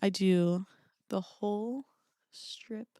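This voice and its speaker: A woman's voice: mid-range voice, very slow, mellow, smooth, dry recording, clean studio sound. A woman co-hosting a podcast, chatting in a playful, teasing way. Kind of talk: casual chat.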